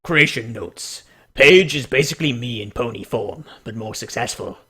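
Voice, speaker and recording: A solemn, sweary dramatic reader, played by a man delivers comic character bios. The voice is mid-pitched, sharp and forceful, with slow, deliberate phrasing. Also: husky. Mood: deadpan, measured.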